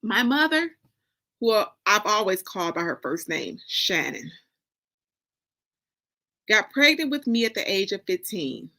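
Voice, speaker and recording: An American woman reads a first-person story aloud in a bright, forward voice. Her phrasing is dramatic and deliberate, with expressive pauses, and her pitch sits in an ordinary middle range for a woman. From Detroit, United States. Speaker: author reading from a novel.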